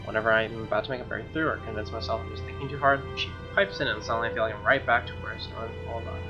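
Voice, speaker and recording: A man reading visual novel narration aloud as a let's player, expressive, with casual asides and reactions. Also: medium pitch. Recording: dull lo-fi mic, music clearly behind. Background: game music.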